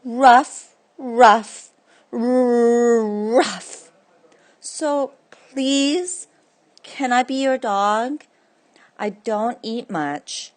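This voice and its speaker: A woman's voice: fairly high pitch, voice pushed up. A woman voicing a hopeful, lonely stray dog, eager and pleading, in a children's read-aloud.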